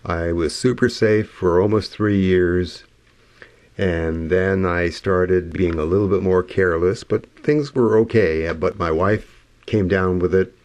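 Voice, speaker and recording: A man with a very low, hoarse voice introduces records on the radio. He talks slowly and loosely, and his level sometimes drops away. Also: darkish tone, dry recording. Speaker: radio host. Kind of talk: relaxed music radio links.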